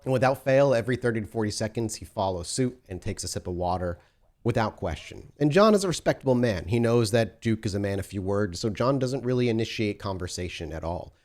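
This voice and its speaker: A man's voice: on the low side, animated, brisk, a little mellow. A man running a tabletop role-playing game as storyteller, giving vivid sensory descriptions with frequent restarts.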